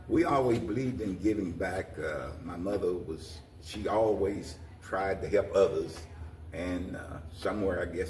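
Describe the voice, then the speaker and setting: An older man answering interview questions in a folksy, halting way with frequent pauses. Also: low-pitched, a little mellow. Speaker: interview guest, community organization president. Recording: roomy echo, distant mic, faint noise.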